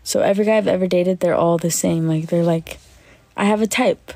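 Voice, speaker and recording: A young woman with a self-described deep voice, her tone low, mellow and full of vocal fry. She chats loosely into a phone held at arm's length while lounging in bed, filling her sentences with "like." The sound is close and dry. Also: fairly even intonation, fairly quick, no echo. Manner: chatty, filler-heavy, lots of "like". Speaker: livestreamer. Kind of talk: casual livestream chat reading comments. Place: bedroom, lying on a bed indoors.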